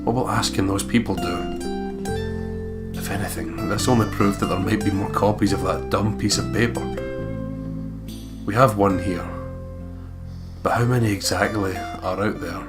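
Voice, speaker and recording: A man's voice: on the low side, steady tone, normal speed, pauses often. A man hosting a let's play, reading visual novel narration aloud and slipping into casual, playful asides. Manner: playful, breaks into laughter and asides. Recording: guitar music under the voice.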